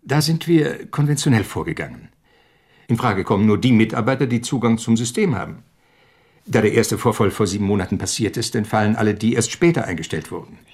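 An actor playing a middle-aged corporate executive in a radio drama, speaking in a formal, businesslike and insistent way. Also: male voice, on the low side, expressive, brisk, a little mellow, husky.

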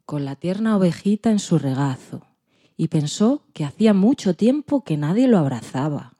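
A woman with a Spanish accent from Spain narrates a children's tale. Her voice is slightly low and mellow, and her storytelling is expressive. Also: normal speed. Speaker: podcast presenter and story narrator.

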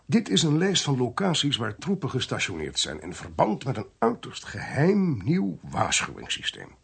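Commanding intelligence chief, gravelly, animated. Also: male voice, mid-range voice, moderate pace, exaggerated voice. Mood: grave explaining. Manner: clipped, authoritative, dramatic delivery. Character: commanding military intelligence chief, blunt and self-reproaching. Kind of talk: Dutch radio drama acting.

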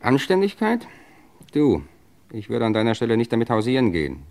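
Cold gangster boss in old radio crime drama, smooth talker, bossy, pitch swings a lot. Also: male voice, huge pitch swings, fairly quick, a little mellow. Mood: quietly menacing. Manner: suave, controlled, domineering. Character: cold, menacing gangster boss, a connoisseur of food and wine. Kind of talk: German crime radio play acting of the 1950s to 80s.

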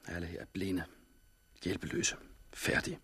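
An actor playing a newly blinded, stoical hospital doctor in a 1960s radio drama, grave and restrained. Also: male voice, low-pitched, level tone, bright, forceful tone, breathy edge, heavily acted. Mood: bleak, resigned.

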